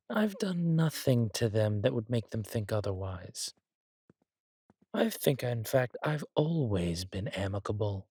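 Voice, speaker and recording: A man playing an arrogant, petulant villain king in an audio drama, with a drawling, theatrical, sardonic delivery and a breathy, husky voice. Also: lowish voice, darkish tone, no room sound, clean studio sound. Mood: placating. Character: arrogant, petulant villain king, cruel yet doting on his consort.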